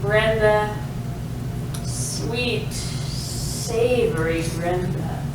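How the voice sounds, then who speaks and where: A woman's voice: mid-range voice, extremely slow. A woman playing a sinister, seductive lunch lady in a comic staged reading, speaking with an insinuating delivery. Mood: menacing, savoring. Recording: echoey noisy room from far off.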